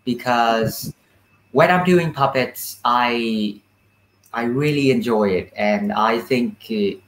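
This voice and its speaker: A young man answers thoughtfully as an interview guest. He speaks slowly at a medium pitch, with clear room echo around his voice. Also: a little hiss or hum. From Vietnam. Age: young adult. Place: small indoor room with puppets, on a video call. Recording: echoey room over video call.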